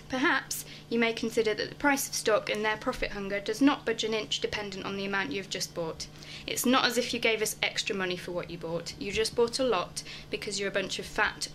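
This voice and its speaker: A woman's voice: medium pitch, fairly bright tone, a little hiss or hum, natural voice. A woman playing a fed-up, sarcastic minimum-wage worker delivering a scathing, wordy rant in a radio play.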